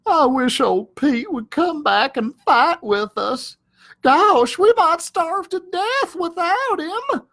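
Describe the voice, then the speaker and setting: A man's voice: extremely high pitch, animated, takes it slowly, sharp tone, voice pushed up, full character voice. A man voicing a small, timid, naive male dog in a children's storybook, with a thin, whiny, plaintive delivery. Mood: tearful. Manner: plaintive, hesitant.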